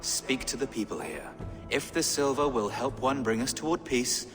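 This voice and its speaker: A man's voice: mid-range voice, sing-song, moderate pace. A man voicing an authoritative, formal medieval churchman and peacemaker in a video game. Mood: composed, earnest. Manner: commanding, formal. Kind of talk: video game drama acting. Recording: reverberant hall under loud music.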